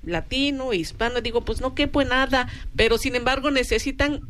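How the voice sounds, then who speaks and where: A woman's voice: high voice, fairly quick, a bit bright. A middle-aged woman from Mexico hosting a radio talk show, chatting casually with plenty of fillers. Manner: conversational, many fillers like "o sea".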